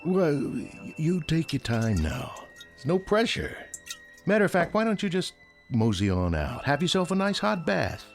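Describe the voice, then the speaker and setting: An actor playing a chatty, coaxing big-city bartender in a radio drama, talking in a folksy, colloquial way. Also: male voice, a little high, sing-song, normal speed, gravelly. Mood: placating. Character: chatty, placating male city bartender, easily exasperated. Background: background music.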